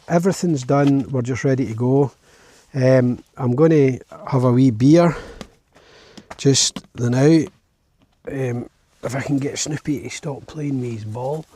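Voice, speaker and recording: A man with a Scottish accent and a husky voice, chatting casually and informally to camera outdoors as a camping vlogger. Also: mid-range voice, unhurried, a little hiss or hum. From Scotland. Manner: relaxed, informal, chatting as he goes. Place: outdoors at a lochside campsite.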